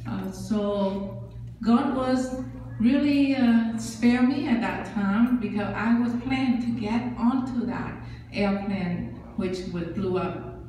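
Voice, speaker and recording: A woman's voice: mid-range voice, slow, warm tone, slight background noise. A woman in her sixties from Vietnam with a Vietnamese accent, giving an earnest personal testimony in a church with many fillers and simple grammar. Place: large church sanctuary. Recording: echoey church hall, speaker far from mic.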